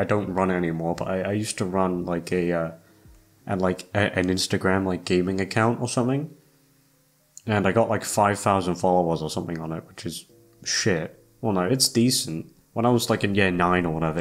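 A young man with a fairly low voice rambles casually to his audience over background music. He hesitates, doubles back and puts himself down, with plenty of swearing. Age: young adult. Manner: hesitant, self-deprecating, sweary, trails off. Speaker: YouTube voice actor and channel host. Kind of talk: casual rambling chat to listeners. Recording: music playing behind the voice.

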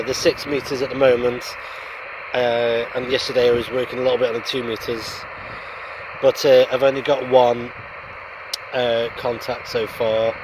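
A man in his forties talks in a casual, halting way, as a radio hobbyist would in a vlog. He is outdoors on an open hillside, with a medium pitch and noticeable noise behind the voice. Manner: halting, hesitant delivery. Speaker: amateur radio vlogger. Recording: noisy outdoor ambience.

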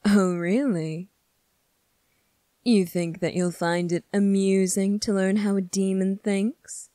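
A woman playing a smug, scornful male demon prince in an ASMR roleplay, speaking in a condescending, deliberate way. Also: medium pitch, fairly even intonation, dark tone, dry recording, studio quality, close to an everyday voice. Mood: coolly amused.

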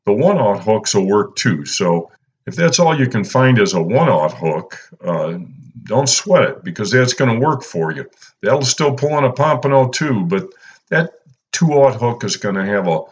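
A man, talking casually and unhurriedly through a fishing how-to as a channel host, filling pauses with "uh" and "you know". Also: lowish voice, muffled recording. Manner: laid-back, lots of "uh" and "you know". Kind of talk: casual instructional voice-over.

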